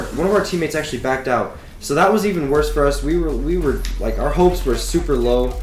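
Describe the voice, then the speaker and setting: A man's voice: medium pitch, reverberant. A teenage boy whose voice sounds a bit sick, casually telling a story to his webcam with lots of fillers and restarts. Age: teens. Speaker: gaming YouTuber.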